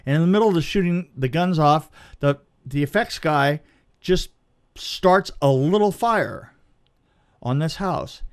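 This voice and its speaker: A man's voice: on the high side, animated, a little slow, clear, resonant tone. A man telling a casual anecdote as a film crew guest, stammering and repeating words as he goes.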